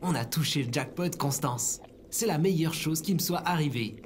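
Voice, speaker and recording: A man playing an upbeat, excitable lone survivor in comedic audio fiction, with an enthusiastic, exclamatory manner. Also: a little high, moderate pace, natural voice. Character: upbeat, excitable male last survivor on Earth. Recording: noticeable background noise.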